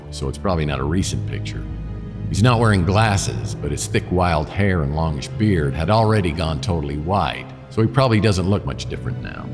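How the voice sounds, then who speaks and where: A man playing a world-weary, wisecracking private detective in a noir radio drama, with a wry deadpan delivery. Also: very deep voice, creaky, in a large hall, heavily acted. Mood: brooding. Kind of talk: noir radio drama, first-person narration and dialogue.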